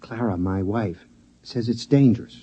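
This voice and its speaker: An actor playing a timid, placating husband and father in a husky, coaxing voice, in the manner of 1950s radio drama. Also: lowish voice, moderate pace, dark tone. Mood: furtive, hesitant. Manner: conciliatory, coaxing. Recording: muffled vintage radio recording.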